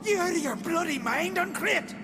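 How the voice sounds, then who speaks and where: An actor playing a frantic, bloodthirsty mad warrior in a video game, agitated and shouting. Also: male voice, extremely high pitch, lively intonation, moderate pace. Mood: frantic, indignant. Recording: game soundtrack music behind.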